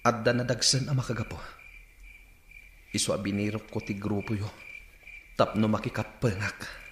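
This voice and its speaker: A man's voice: fairly low pitch, fairly even intonation, normal speed, fairly bright tone, natural voice. An actor playing a respectful, determined young man out for vengeance in a radio drama. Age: young adult. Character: earnest young man joining rebels to avenge his father. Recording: roomy echo, faint noise.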